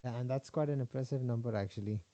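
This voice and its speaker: A man's voice: medium pitch, quick pace, mellow, no room sound. A man hosting a casual podcast interview, asking questions with many hesitant fillers. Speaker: podcast host. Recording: dull lo-fi sound.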